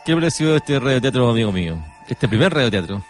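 A man's voice: medium pitch, big pitch swings, quick pace. A man chatting as co-host of a radio show. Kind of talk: conversational radio talk. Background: music.

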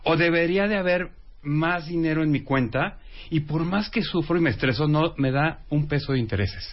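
Man, co-host, wry, self-mocking, higher pitch, muffled radio. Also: moderate pace. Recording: dull lo-fi radio audio.

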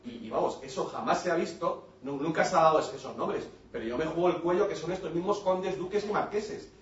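A man from the audience making a comment at a public talk, halting and repeating words, then growing heated. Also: on the high side, slight background noise. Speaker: audience member. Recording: roomy, dull hall recording from far off.

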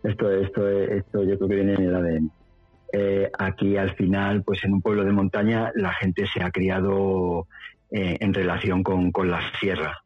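A man's voice: deep voice, level tone, moderate pace, dry recording. A middle-aged man from Madrid with a central Spanish accent, telling about his life casually as an interview guest. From Madrid, Spain. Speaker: interview guest, rural mountain dweller. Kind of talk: casual conversational storytelling. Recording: dull lo-fi audio, faint music.

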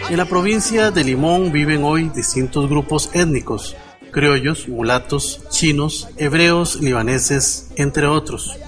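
Un hombre que lee una narración documental preparada para un programa de radio, con tono formal y pausado. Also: male voice, fairly high pitch. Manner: formal, measured reading. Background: music.

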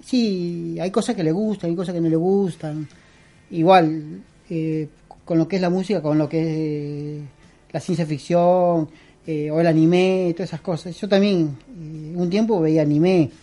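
Man, Peruvian accent, middle-aged, mellow dark tone, halting casual talk. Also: on the high side, steady tone. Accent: Peruvian Spanish. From Peru. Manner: rambling, halting, many fillers and restarts. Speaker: guest, costume and prop maker. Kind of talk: casual interview chat.

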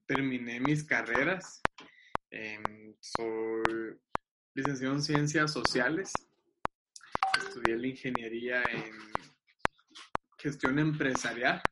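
A young man talks eagerly, laughing easily and doubling back on his words. His voice sits in a middle register. A loud steady hiss runs under the video-call audio. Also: mid-range voice, slow pace. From Mexico. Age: 20s. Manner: enthusiastic, laughs often, repeats words. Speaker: guest. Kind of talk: casual video-call chat.

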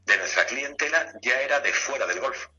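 A man's voice: mid-range voice, animated, normal speed, pushed and bright, muffled recording. A man with an accent from Spain, talking chattily and repeating himself as a guest in a casual radio interview. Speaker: guest, golf club director.

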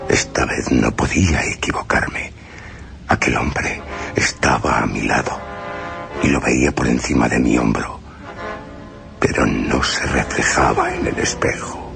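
An actor playing an uneasy male houseguest, telling his gothic tale in a measured, deliberate way for a radio drama. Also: a bass voice, creaky, full character voice. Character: uneasy male houseguest recounting a night with a vampire count. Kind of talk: dramatised first-person gothic radio narration. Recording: radio drama with prominent music.

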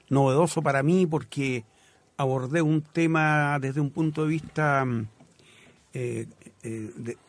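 A Chilean man with a mid-pitched voice speaks as a guest on a radio literary programme. He is reflective and halting, reaching for the next word and settling on literary phrases. The sound is dry and close. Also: dry recording. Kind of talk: live radio interview, reflective conversation.

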